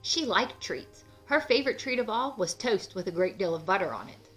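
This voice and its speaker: A woman reads a children's storybook aloud in a bright, clear-cut voice. She is expressive and speaks directly to young listeners. Soft music plays underneath. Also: mid-range voice, normal speed, rough recording. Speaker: children's book read-aloud narrator.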